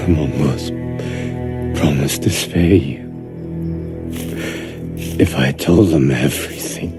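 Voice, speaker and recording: Casting: dying warrior monk, game cutscene. Very low, slow, breath-starved, pleading and broken; loud score behind. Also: male voice. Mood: pained, guilty. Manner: halting, pleading in short fragments. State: gravely injured, struggling to speak. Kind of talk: dramatic game cutscene acting. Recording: loud music over the voice.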